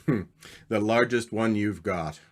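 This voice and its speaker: A man playing an awkward, evasive, devoted husband in an old-time radio play, halting in his delivery. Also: on the low side, lightly acted. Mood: fond, relieved. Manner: hesitant, halting.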